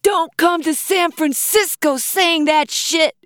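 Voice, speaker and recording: A woman voicing an angry female heckler who yells in a confrontational way. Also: extremely high pitch, slow, no room sound, studio quality, pitched above the performer's own voice, full character voice. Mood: furious yelling. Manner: yelling, confrontational.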